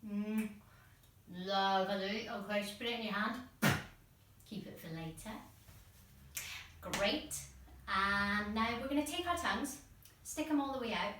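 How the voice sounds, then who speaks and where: A woman leading a warm-up as a workshop instructor, guiding step by step in an encouraging way. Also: mid-range voice, measured pace, pauses often, background noise. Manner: encouraging, step-by-step, demonstrates as she talks. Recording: very echoey room, distant mic.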